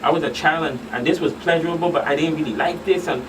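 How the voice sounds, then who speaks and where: Higher-pitched man, emphatic, noisy distant mic. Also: quick pace. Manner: emphatic, repeats phrases for stress. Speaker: guest expert on a talk show. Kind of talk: radio talk show discussion. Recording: distant mics, loud air-conditioning hum.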